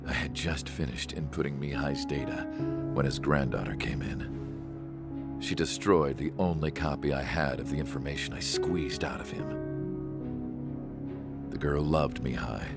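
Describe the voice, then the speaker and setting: Game cast: weary older male scientist, extremely low and creaky, solemn confessional delivery, dramatic score underneath. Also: measured pace, pauses often, over loud music, heavily acted. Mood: regretful. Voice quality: gravelly. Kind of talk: scripted dramatic narration.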